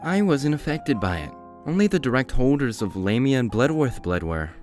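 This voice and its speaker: A man's voice: medium pitch, sing-song, moderate pace, darkish tone, faint music behind, lightly acted. A man playing a resentful half-blood vampire in a scripted roleplay, with a dry, casual manner. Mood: wry.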